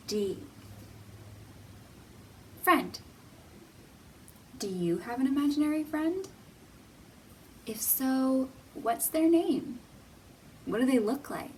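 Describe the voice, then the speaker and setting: A woman hosting a children's storytime, speaking in simple, deliberate, teacherly phrases with pauses and questions for young viewers. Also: high-pitched, far from the mic, a little hiss or hum, poor audio. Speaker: children's library storytime host.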